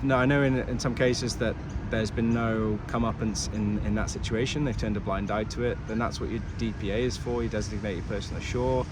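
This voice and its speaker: A man's voice: lowish voice, background noise. A man in his thirties giving candid career advice in a casual vlog, reflective and often restarting his sentences. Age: 30s. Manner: candid and reflective, with frequent restarts and self-corrections. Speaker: yacht crew member giving career advice. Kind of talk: casual talking-to-camera vlog.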